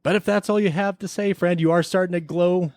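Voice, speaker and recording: A man's voice: high-pitched, fairly even intonation, fairly quick, darkish tone, no room sound. Man casually hosting a podcast, dry close sound. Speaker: podcast host. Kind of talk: casual episode review chat mixed with playful time-travel skit.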